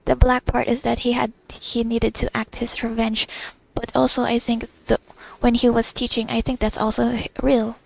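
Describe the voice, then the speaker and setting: A woman's voice: a little high, flat delivery, normal speed, vocal fry, hardly any pauses, a little mellow, low-quality recording. A woman giving casual game playthrough commentary, rambling and thinking aloud in fragments, close to the mic.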